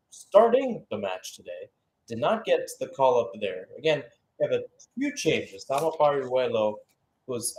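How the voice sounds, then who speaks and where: A man doing live radio-style play-by-play football commentary, rising with excitement on chances and settling calmer between plays. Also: mid-range voice.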